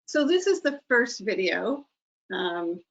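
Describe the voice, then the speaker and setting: A woman leads an online training over a dull, lo-fi call line. Her voice carries a gravelly fry as she chats casually and apologizes for the technology. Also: mid-range voice, sing-song, slow, a bit bright. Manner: chatty, apologetic about technical trouble. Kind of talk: casual presenting to a webinar. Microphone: video call.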